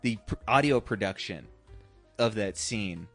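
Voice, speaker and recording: A man's voice: lowish voice, expressive, unhurried, fairly bright tone, faint music behind. A man chatting casually and effusively about film as a podcast co-host, in profane fan talk. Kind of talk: casual enthusiastic film discussion.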